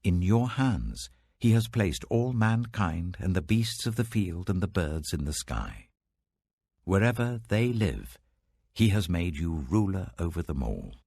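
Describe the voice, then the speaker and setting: A man voicing an earnest, reverent prophet who addresses a king in a grave, declamatory, deliberate way, in an audiobook reading. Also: deep voice, very animated, creaky, warm tone, no echo, studio quality, full character voice. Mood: exalting, proclaiming.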